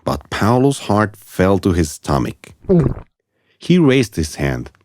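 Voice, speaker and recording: A man narrates a children's story in a deep, soft-edged voice. His delivery is scripted and very lively in its rise and fall, with a mellow tone throughout. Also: takes it slowly, dry recording, clean studio sound. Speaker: audiobook narrator.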